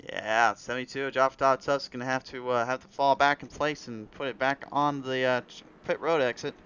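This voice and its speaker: A man's voice: medium pitch, steady tone, normal speed, a bit bright, clear, resonant tone, lo-fi sound. A man giving rambling live play-by-play commentary on a racing league broadcast, a little under the weather, his voice cutting out at times. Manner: rambling play-by-play with restarts and fillers. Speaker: race commentator.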